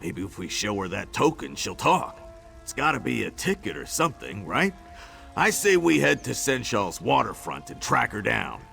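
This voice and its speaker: An actor playing a tough freed male prisoner in a video game, talking in a dry, joking, gruff way. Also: mid-range voice, sing-song, normal speed, pushed and bright, faint music behind, exaggerated voice. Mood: wry.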